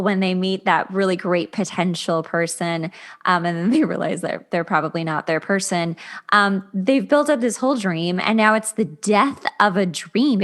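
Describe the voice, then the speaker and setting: A woman hosting a podcast, speaking in a casual, conversational interview style. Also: medium pitch. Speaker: podcast host.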